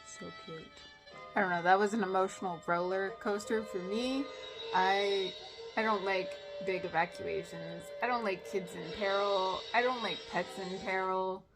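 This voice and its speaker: A woman giving casual reaction commentary as a show host, expressive and playfully dramatic, with a self-deprecating way of talking. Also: mid-range voice, moderate pace, over music, low-quality recording.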